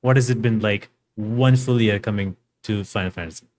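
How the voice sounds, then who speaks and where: A man's voice: lowish voice, moderate pace, a little mellow. A man hosting a podcast interview, speaking in an enthusiastic, upbeat way. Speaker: podcast host. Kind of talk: interview and show plug.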